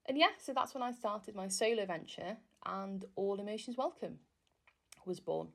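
A young woman from Wales hosts her own podcast, talking on her own in a relaxed, rambling way with plenty of fillers. Her first language is Welsh. Her voice has a noticeable vocal fry running through it. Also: medium pitch, moderate pace. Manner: chatty, self-deprecating, rambling with fillers. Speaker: podcast host. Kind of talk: casual solo podcast monologue.

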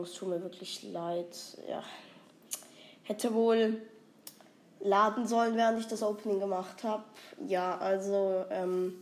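Eine erkältete Frau, die als Host eines Gaming-Podcasts locker und aufgeregt über ihr Spiel erzählt, mit vielen Füllwörtern. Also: female voice, mid-range voice. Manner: excited, rambling, many fillers. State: has a cold. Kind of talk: casual gaming vlog chat.

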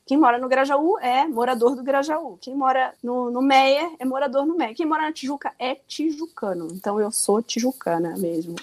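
A woman's voice: high-pitched, moderate pace, a little mellow. A woman in her forties from Rio de Janeiro with a Carioca accent, a guest telling her story in a casual, chatty, self-deprecating way. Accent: Carioca Brazilian Portuguese. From Rio de Janeiro, Brazil. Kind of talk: casual interview chat.

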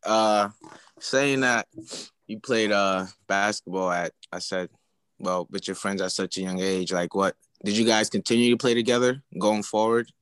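A man co-hosts a podcast with a smooth, clean voice on the low side. He feels his way through his question with pauses and restarts. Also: level tone, a bit bright, no echo. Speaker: podcast co-host. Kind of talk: casual interview chat.

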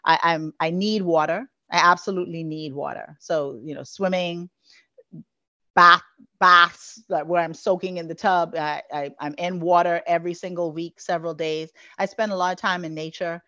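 Woman from the Bahamas, coach guest; lowish voice, muffled dry recording; earnest, rambling with fillers. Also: fairly even intonation, moderate pace, bright, forceful tone, no echo. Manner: earnest, flowing, many "you know" fillers. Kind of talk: interview answers.

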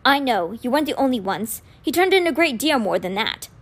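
A woman voicing a kindly, wise old man in an audiobook, patient and measured, with a gentle gravity. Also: on the high side, sing-song. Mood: kind, regretful. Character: kindly, wise old male magician mentor, gently grave.

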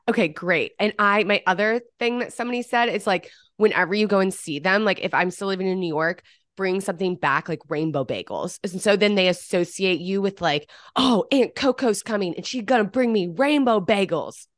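A woman's voice: mid-range voice, moderate pace, no echo, studio quality. A young woman co-hosting a podcast in casual chat, slightly congested from a cold, giggly and full of "like". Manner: chatty, giggly, lots of "like". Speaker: podcast co-host.

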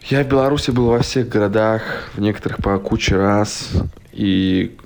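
Gravelly-voiced man rambling in blunt chat. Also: lowish voice, normal speed, darkish tone. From Russia. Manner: rambling, full of fillers and restarts; blunt and profane. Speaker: podcast guest. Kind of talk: casual podcast chat.

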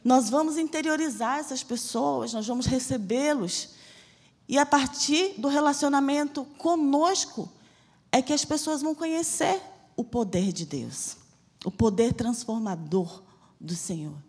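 A woman's voice: sits high, animated, darkish tone, breathy edge, long reverb tail. A woman from Salvador with a Bahian accent blended with a Rio accent, preaching a fervent, emphatic church sermon as a missionary. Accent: Bahian Portuguese mixed with Rio de Janeiro. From Salvador, Bahia, Brazil. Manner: fervent, emphatic preaching with slow, stressed phrases. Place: church hall.

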